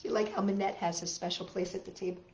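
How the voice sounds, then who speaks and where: A woman's voice: on the low side, flat delivery, fast, darkish tone, a little hiss or hum. A woman reading a picture book aloud to children with dramatic emphasis and chatty asides to her listeners. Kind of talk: expressive children's read-aloud. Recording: roomy, echoey room sound.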